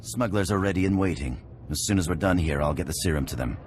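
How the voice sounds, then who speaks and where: Very deep, curt cell boss, played by a man. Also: quick pace, background noise, natural voice. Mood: businesslike. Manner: curt, commanding. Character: gruff, commanding male terror cell boss. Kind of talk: scripted game cutscene acting.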